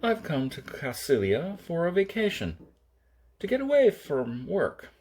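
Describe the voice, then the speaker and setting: A man's voice: on the high side, huge pitch swings, a little slow, darkish tone, slight background noise, voice pushed up. A man voicing a wary, short-tempered professional gambler in a science fiction audiobook, with an acid, defensive delivery.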